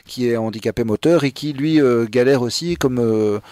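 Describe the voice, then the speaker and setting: A man's voice: medium pitch, normal speed, mellow. A man hosting a podcast, asking short questions and prompting his guests. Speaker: podcast host. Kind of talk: interviewing.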